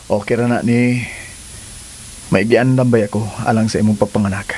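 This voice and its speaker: An actor playing a sweet-talking cheating husband who turns evasive when cornered, in a radio drama. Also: male voice, on the low side, level tone, normal speed, darkish tone, a little hiss or hum, lightly acted. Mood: tender, coaxing. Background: music.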